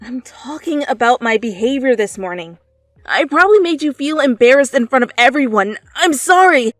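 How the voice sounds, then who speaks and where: A high-voiced, feisty teenage student, voiced by a woman, talks in an animated, easily flustered way. It is a dramatic fan fiction reading, close and dry over music. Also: normal speed, no echo. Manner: animated, stammering, swinging from taunting to apologetic.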